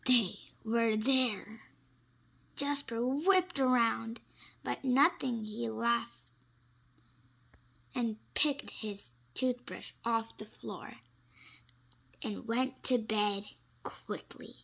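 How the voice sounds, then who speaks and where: A woman reads a children's bedtime story at a very slow, deliberate pace. Her delivery is dramatic and full of suspense, with a creaky edge to the voice. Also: fairly high pitch. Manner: animated, dramatic, with suspenseful pauses. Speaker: storybook reader. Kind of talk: expressive read-aloud of children's bedtime stories.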